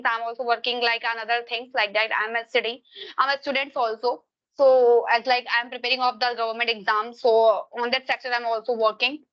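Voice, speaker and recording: A woman's voice: fairly high pitch, highs cut off. A woman from Uttar Pradesh with an Indian accent, a Hindi speaker, teaching English and chatting at length on a call with frequent grammar slips. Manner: long searching answers with frequent grammar slips. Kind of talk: casual video-call conversation.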